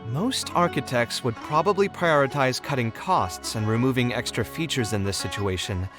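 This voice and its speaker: A man's voice: medium pitch, normal speed, over music, lightly acted. A man playing an idealistic young architect, earnest and fussy, who explains his design ideas at length. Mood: thoughtful. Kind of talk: game voice acting.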